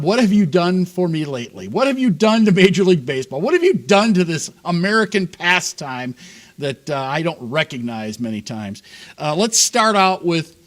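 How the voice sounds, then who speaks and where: A man co-hosting a sports talk show, ranting sarcastically and laughing between points. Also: on the high side. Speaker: co-host.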